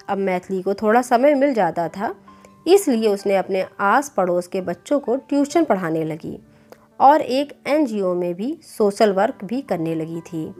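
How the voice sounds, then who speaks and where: A woman's voice: mid-range voice, darkish tone. A woman reading a scripted moral story as a storyteller. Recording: clear background music bed. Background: music.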